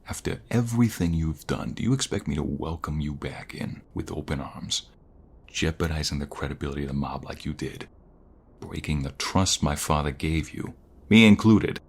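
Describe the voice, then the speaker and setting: Man acting a very deep, gravelly, menacing mobster. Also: exaggerated voice. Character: protective, hot-tempered male mobster, tender with his sweetheart. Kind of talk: audio roleplay acting.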